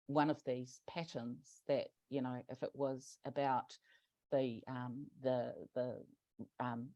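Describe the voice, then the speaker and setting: A man presenting a project in an online webinar, talking haltingly with many fillers and restarts. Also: medium pitch, darkish tone, no room sound. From New Zealand. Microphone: video call. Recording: dull lo-fi call audio.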